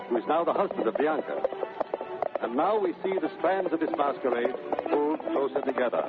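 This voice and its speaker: Brief: male radio-play narrator, mid-pitched and very expressive, thin 1930s broadcast sound with prominent music underneath. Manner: expressive, measured. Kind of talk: scripted 1930s radio storytelling. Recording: narrow vintage radio sound, loud music behind. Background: loud music.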